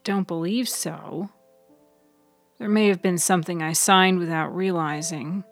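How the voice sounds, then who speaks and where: A woman voicing a weary, frail, troubled woman in a hospital bed, halting and strained, in a story podcast. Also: on the low side, steady tone, no room sound, soft background music. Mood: weary, pondering. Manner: halting, strained, sometimes harsh. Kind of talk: serial fiction audio storytelling.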